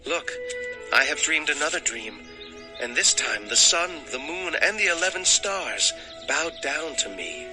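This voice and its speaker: An actor playing an earnest, naive young shepherd's son in a dramatized audio Bible. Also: male voice, a little high. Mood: earnest wonder. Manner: slow, expressive, declaiming. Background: music.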